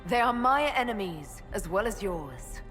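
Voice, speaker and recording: A woman's voice: medium pitch, expressive, unhurried, fairly bright tone, faint music behind. A woman playing a direct, composed elf mage leader in a fantasy game, plain-spoken and controlled. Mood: firm, diplomatic. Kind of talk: fantasy game dialogue acting. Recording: long hall reverb.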